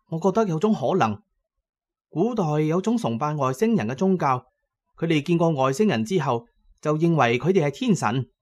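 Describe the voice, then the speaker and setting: A man's voice: fairly high pitch, a little mellow. A man with a Cantonese accent voicing an eager, imaginative young student in an audio story.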